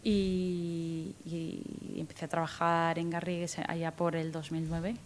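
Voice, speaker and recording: A woman in her thirties from Guatemala, with a faint Guatemalan accent softened by Spanish from Spain, answering interview questions as a guest lawyer with frequent restarts and fillers. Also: lowish voice, takes it slowly, a little hiss or hum. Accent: light Guatemalan, mostly Spanish from Spain. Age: 30s. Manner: thoughtful, many restarts and fillers, lively rises when retelling.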